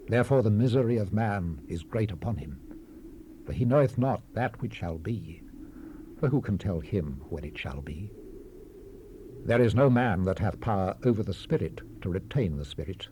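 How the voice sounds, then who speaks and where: An actor playing a solemn, world-weary sage, delivering scripture in a grave, oratorical manner with dramatic swells and hushed falls. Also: male voice, on the low side. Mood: solemn counsel. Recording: noisy 1970s cassette rip, faint hiss.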